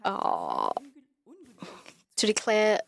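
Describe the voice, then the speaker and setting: A woman representing a digital rights organization, giving a conference talk with slow, hesitant phrasing and self-corrections. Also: medium pitch, huge pitch swings, creaky. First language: not German. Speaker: NGO representative.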